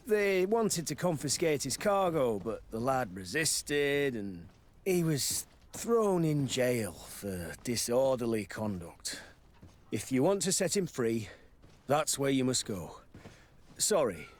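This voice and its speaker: A dutiful guard commander from a video game. His mid-range voice is very animated yet formal and deferential, over clearly audible background noise. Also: mid-range voice. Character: dutiful, courteous male commander of city guards. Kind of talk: video game cutscene acting.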